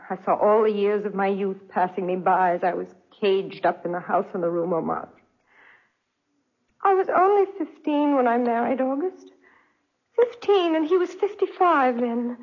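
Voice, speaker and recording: An actress playing a lonely, tormented young French wife in a theatrical 1940s radio drama, with deliberate phrasing. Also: female voice, medium pitch, lightly acted. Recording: dull, restored 1940s radio recording.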